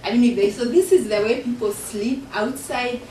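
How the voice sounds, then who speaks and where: A woman's voice: on the high side, moderate pace, warm tone, recorded from a distance, a little hiss or hum. A woman from Kenya with a Kenyan accent, an author and professor talking earnestly about her book to an audience in a university function room. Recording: echoey room recorded from afar.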